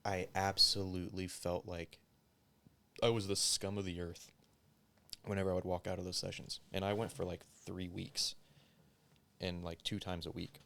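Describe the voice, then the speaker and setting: A man's voice: deep voice, little pitch movement, moderate pace, gravelly, pushed and bright. A young man chatting casually as a podcast guest, rambling and profane, with lots of "like" fillers.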